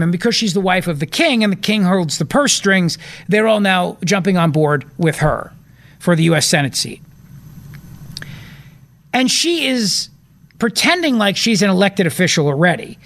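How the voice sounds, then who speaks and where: A man hosting a talk radio show, giving opinionated commentary and repeating points for emphasis. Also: high voice.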